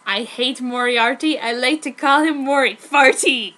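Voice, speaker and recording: A woman voicing an excitable, defensive teenage fan fiction author who blurts out emphatic asides. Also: sits high, unhurried. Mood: gushing, defensive. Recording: noticeable background noise, amateur recording.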